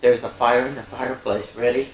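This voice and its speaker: A woman hosting story time for children, reading and singing picture books with chatty, animated asides to her young listeners. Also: extremely low pitch, fairly quick. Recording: echoey room.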